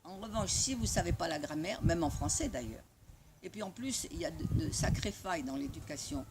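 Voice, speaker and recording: Woman, native Italian speaker, lively, wry, personal storytelling. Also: on the low side, brisk, very noisy. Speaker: spiritual community member sharing a testimony. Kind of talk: personal storytelling after a morning talk.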